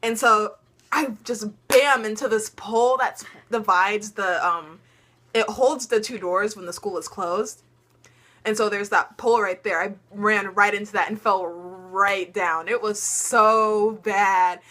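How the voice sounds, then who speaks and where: A woman's voice: medium pitch, a little slow, fairly bright tone. A teenage girl vlogger telling funny stories in casual chat, laughing often and dropping lots of ums.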